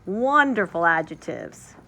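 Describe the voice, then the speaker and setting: Lady reading a kids story out loud, lively swooping intonation, teacherly, stresses the describing words. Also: lowish voice, unhurried, vocal fry. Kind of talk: expressive children's story reading.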